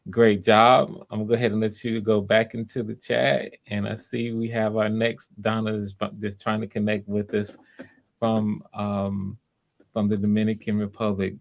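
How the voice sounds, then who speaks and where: Low-voiced, gravelly man hosting online. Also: little pitch movement. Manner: effusive encouragement, repeats phrases, halting. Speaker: audition host, writer-director. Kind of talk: casual live-stream chat. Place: online video call.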